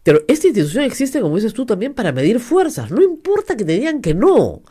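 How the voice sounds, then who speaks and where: A woman's voice: on the high side, wildly swooping pitch, fairly quick, mellow, breathy edge. A Peruvian woman hosting a political interview, assertive and repeating phrases for emphasis. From Peru. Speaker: host.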